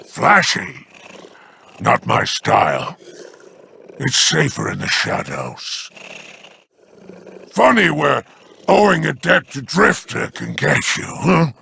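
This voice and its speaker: Slow, gravelly schemer; bright edge, wheedling game villain. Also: male voice, a little high, sing-song, slight background noise, exaggerated voice. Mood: sly. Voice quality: gravelly, drawling. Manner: wheedling and theatrical. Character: sly, smug alien crime lord, older male. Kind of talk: video game voice acting.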